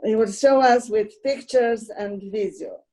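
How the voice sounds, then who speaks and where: A woman with a fairly high voice welcomes an online audience as coordinator. She speaks very slowly and deliberately, in a formal, ceremonious way. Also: dark tone, poor audio. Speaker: programme coordinator and host. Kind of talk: formal welcome speech on a video call. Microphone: video call.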